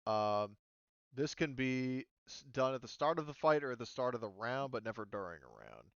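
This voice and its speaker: A man's voice: mid-range voice, very animated, normal speed, fairly bright tone, no echo, muffled recording. A man running a tabletop roleplaying game as game master, explaining rules and action casually with some swearing.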